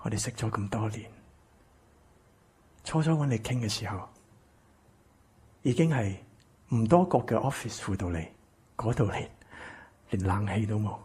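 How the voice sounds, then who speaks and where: Guy acting a bitter wounded man in a hk cantonese radio play, really husky n breathy, swings up and down a lot. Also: lowish voice, lively intonation, moderate pace, long pauses, warm tone. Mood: calm, measured. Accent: Hong Kong Cantonese. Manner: intense, dramatic. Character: embittered, wounded rich man, therapist, estranged from family. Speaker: actor in a radio drama. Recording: music behind the voice.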